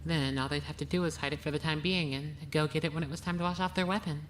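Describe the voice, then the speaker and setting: A woman playing a composed, analytical young woman, speaking in a measured, matter-of-fact way. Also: deep voice, steady tone, a little hiss or hum, close to an everyday voice. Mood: calm, analytical. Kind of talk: animated murder-mystery voice acting.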